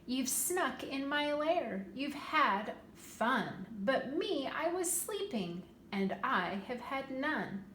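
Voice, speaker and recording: A woman's voice: high voice, sing-song, slow, few pauses, a bit bright, pitched above the performer's own voice, heavily acted. A woman voicing a big brown storybook bear who complains in a wounded, emphatic way. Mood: hurt, indignant. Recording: roomy echo, light background noise.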